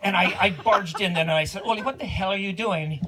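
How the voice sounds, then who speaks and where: A man's voice: sits high, fast talker, sharp tone, a little hiss or hum, low-quality recording. A man playing Lars, a folksy Norwegian-American from North Dakota, telling marriage jokes with an Upper Midwest accent. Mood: deadpan. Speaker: wedding entertainer. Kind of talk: comic duo routine at a wedding.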